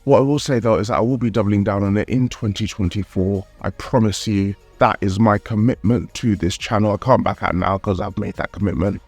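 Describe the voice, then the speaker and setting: Low-voiced man narrating with a slight nasal edge. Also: a little fast, few pauses, a little mellow, husky, soft background music. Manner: candid, upbeat, explanatory. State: nasal, recovering from a cold. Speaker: calisthenics vlogger. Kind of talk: voice-over vlog narration.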